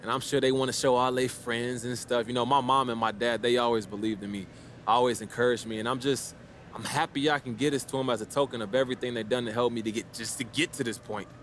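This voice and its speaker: An actor playing a confident young basketball star giving slangy, casual press conference answers in a video game. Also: male voice, mid-range voice, steady tone, fast, a bit bright, a little hiss or hum, close to an everyday voice. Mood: thrilled, grateful. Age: young adult. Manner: cocky, slangy, laid-back.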